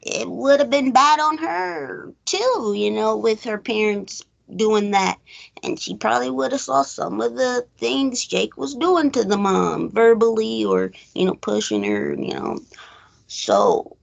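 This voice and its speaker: A woman's voice: on the high side, big pitch swings, unhurried, gravelly, lo-fi sound. A woman co-hosting a movie recap show, chatting casually and rambling as she retells and acts out bits of dialogue.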